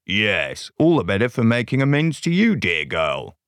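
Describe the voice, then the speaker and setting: A man voicing a big bad wolf in a storybook tale, disguised as a gentle grandma, with a slightly gravelly, croaky, coaxing and polite voice. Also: lowish voice, huge pitch swings, moderate pace, dry recording. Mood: humbly sincere.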